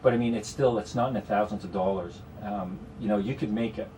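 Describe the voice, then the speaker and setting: A man's voice: fairly low pitch, fairly quick, warm tone, distant mic, a little hiss or hum, rough recording. A man with a Canadian accent, a guest strategist explaining investments in a radio studio conversation, with many "you know"s. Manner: explanatory, talks in long runs with frequent "you know".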